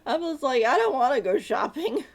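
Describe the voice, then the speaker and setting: A woman's voice: high voice, big pitch swings, moderate pace. A woman streaming a game, chatting casually and rambling, laughing often as she talks. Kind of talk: casual live-stream chat.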